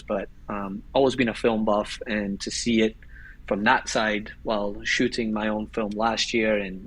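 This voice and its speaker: A man from Glasgow with a Scottish accent, chatting as a guest about his career in a relaxed, rambling way. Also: lowish voice, husky, rough recording. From Scotland. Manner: relaxed, rambling, with restarts and laughs. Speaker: guest filmmaker. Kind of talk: casual podcast chat.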